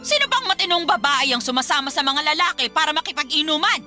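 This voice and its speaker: A woman playing a domineering, suspicious middle-aged mother in a Filipino radio drama, nagging and accusing in a sharp-tongued, melodramatic way. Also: high voice, lively intonation, quick pace, soft background music, heavily acted. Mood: scolding, outraged.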